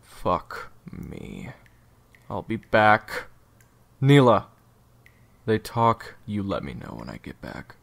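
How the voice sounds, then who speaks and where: An actor playing a guarded, short-tempered leader of assassins in an audio drama, terse and weary. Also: male voice, lowish voice, very animated, slow, creaky, pauses often, full character voice. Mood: guarded, irritated.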